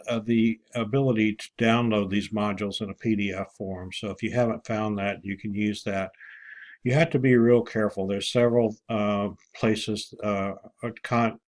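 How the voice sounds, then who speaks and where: Low-voiced man, halting online instructor. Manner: halting, with many pauses and throat clearing. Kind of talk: informal screen-share walkthrough for students.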